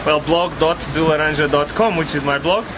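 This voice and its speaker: A man's voice: on the high side, brisk. Man over street noise. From Portugal. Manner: hesitant, many fillers and restarts, earnest. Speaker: startup founder. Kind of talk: casual on-the-street interview.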